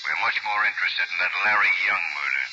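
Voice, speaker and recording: An actor playing a brisk, businesslike district attorney on the phone in a 1940s radio drama. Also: male voice, sits low, noisy background, natural voice. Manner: clipped, businesslike. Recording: muffled old radio broadcast.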